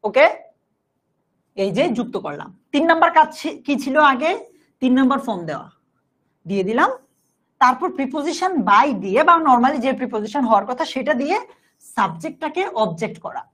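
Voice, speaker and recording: A Bangladeshi woman with a fairly high voice teaches a live online class. She explains rules step by step in an instructive way, puts questions to her students and slows right down to dictate examples. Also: animated, fairly bright tone. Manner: instructive, repeatedly checking understanding, slowly dictating example sentences. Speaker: online English grammar teacher.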